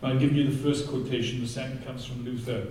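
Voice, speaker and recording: A man's voice: medium pitch, little pitch movement, fast, dark tone, a little hiss or hum. A man with a Welsh accent preaching a passionate sermon and reading scripture aloud, often picking up speed as he goes. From Wales. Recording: very echoey hall, heard from a distance.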